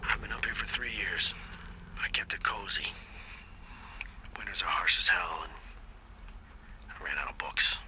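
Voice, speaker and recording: A middle-aged male actor playing a bitter, defensive reclusive father confessing on tape, halting and folksy with an American accent. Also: low-pitched, background noise. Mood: guarded, weary. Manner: halting, folksy, plain-spoken, profane. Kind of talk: naturalistic game acting, taped monologue. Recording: taped message with music underneath.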